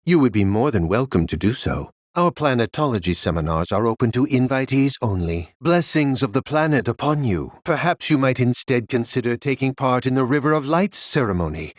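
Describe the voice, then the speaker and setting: An actor playing a courteous, devout disciple in a video game, welcoming visitors in a formal, measured way. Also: male voice, mid-range voice, animated, dry recording, studio quality, lightly acted. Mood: reverent, courteous.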